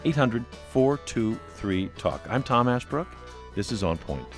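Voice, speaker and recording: Measured male radio talk host. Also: mid-range voice, expressive, over music. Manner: measured, then stumbling on unscripted questions. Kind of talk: public radio call-in interview. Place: radio studio. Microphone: studio microphone.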